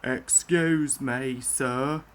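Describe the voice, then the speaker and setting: A woman voicing a loyal male railway fireman in a children's storybook, matter-of-fact, deferential and low in pitch. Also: steady tone, very slow, heavily acted. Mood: apologetic.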